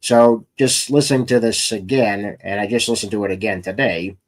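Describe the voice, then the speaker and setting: A man with a fairly low voice hosts a relaxed, conversational interview show. He speaks informally, like a writer chatting with a guest. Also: normal speed. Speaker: podcast host and comics writer. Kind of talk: casual interview chat.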